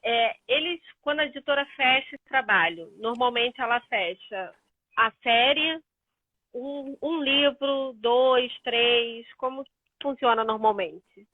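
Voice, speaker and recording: A woman's voice: on the high side, slow pace, no room sound. A woman moderating a live video interview, asking questions casually while searching for words. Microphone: phone audio on a video call. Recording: dry, tinny phone-like audio.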